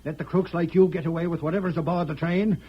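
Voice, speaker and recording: Actor as a higher-voiced, defiant engineer. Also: male voice, fairly even intonation, quick pace, lightly acted. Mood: indignant. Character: defiant, indignant captured railroad engineer. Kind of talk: old-time radio western acting. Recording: muffled, noisy old radio recording.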